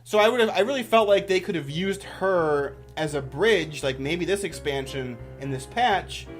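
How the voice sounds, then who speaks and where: A man's voice: fairly high pitch, expressive, normal speed, soft background music. A man giving casual, off-the-cuff commentary as a YouTuber, with an enthusiastic, rambling manner.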